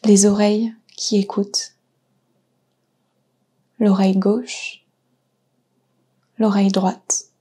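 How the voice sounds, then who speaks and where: Very slow, breathy woman guiding meditation. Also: mid-range voice, flat delivery. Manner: soft, breathy, soothing delivery with pauses. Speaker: yoga nidra guide. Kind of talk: guided relaxation meditation.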